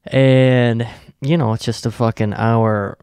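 Slow, gravelly-voiced tired young man. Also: mid-range voice, fairly even intonation, darkish tone, no echo, clean studio sound. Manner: profane, laid-back, trailing off with fillers. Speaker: podcast co-host. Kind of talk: casual rambling monologue.

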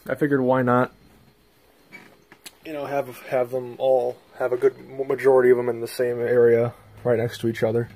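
A man's voice: medium pitch, little pitch movement, normal speed, darkish tone. A man casually showing things off in a rambling, off-the-cuff vlog, recorded indoors on a handheld phone. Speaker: hobbyist video presenter. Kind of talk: casual show-and-tell vlog. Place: small bedroom indoors. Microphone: handheld phone camera.

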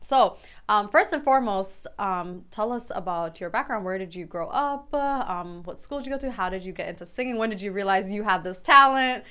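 A woman's voice: medium pitch, animated, moderate pace, pushed and bright, smooth. A woman co-hosting a casual podcast, asking questions and backing the guest with frequent affirmations. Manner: chatty, lots of affirming mm-hmms. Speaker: podcast co-host.

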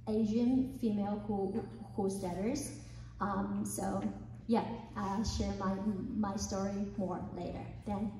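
A woman's voice: medium pitch, mellow, slight background noise. A woman from China speaking on a panel, with a heavy stutter and many repeated words. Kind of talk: panel talk. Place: hotel conference room with audience. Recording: echoey conference hall from far off.

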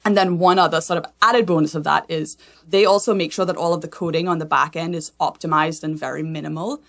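A woman from Trinidad and Tobago speaks as a consultant being interviewed. Her voice sits a little low, and she explains things in a conversational way. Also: steady tone, fast talker, vocal fry, fairly bright tone. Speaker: guest, sustainability consultant.

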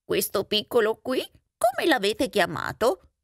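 A woman's voice: medium pitch, huge pitch swings, unhurried, vocal fry, no room sound, clean studio sound, exaggerated voice. A woman voicing a trusting, puzzled male mouse in a fairy tale, with a questioning, exclamatory way of talking. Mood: curious, puzzled.

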